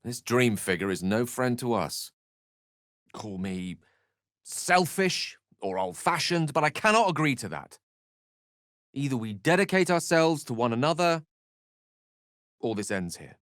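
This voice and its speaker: An actor with a British accent voicing a learned, proud, romantic wizard in a video game, with eloquent, slightly pompous phrasing. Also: male voice, medium pitch, animated, unhurried, long pauses, a bit bright, no echo, studio quality. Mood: earnest, firm. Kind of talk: video game character voice lines.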